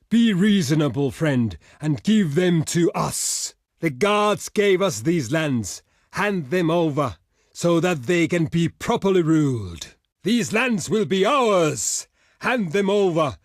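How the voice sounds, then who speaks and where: An actor playing a proud, stern barbarian envoy in a video game, speaking in a solemn, formal and declamatory way. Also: male voice, on the high side, no echo, clean studio sound. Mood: firm, demanding. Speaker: diplomat character in a strategy game. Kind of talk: theatrical video game voice lines.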